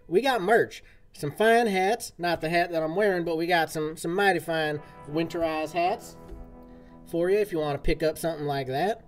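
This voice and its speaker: Streamer, male, drawl, folksy chatter, slightly high voice, faint music behind. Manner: folksy cowboy-style talk. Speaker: game streamer. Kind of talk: casual live-stream chat. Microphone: desk mic, game audio from a speaker.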